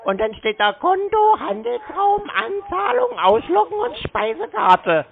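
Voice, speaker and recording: A slow, gravelly voice on a muffled phone call. The speaker sounds naive and confused, repeating things literally, and the pitch wanders widely as they plod along. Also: big pitch swings. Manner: confused, literal, plodding, repetitive. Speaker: prank callee stringing along a scam caller.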